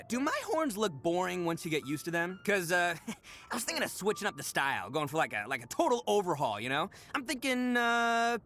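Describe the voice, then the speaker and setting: An actor playing a brash, goofy young horned oni gang leader in a video game, boisterous, boastful and slangy, with theatrical swings. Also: male voice, sits high, sharp tone, heavily acted. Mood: excited, playful. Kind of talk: video game character voice acting.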